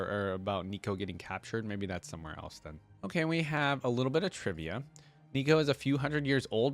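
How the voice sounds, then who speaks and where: A man's voice: on the low side, no echo. A man hosting a reaction video, reading text aloud and adding casual, conversational commentary.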